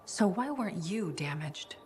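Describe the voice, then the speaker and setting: Actress as probing female intelligence agent for a video game: lowish pitch, dry, measured, guarded, faint ambient noise. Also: expressive, close to an everyday voice. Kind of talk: video game character acting.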